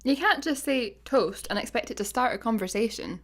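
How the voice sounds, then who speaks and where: A woman's voice: a little high, animated, a little fast, a bit bright. A Scottish woman playing a laid-back, literal-minded space satellite employee in a sci-fi comedy radio play, dry and matter-of-fact. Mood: casual.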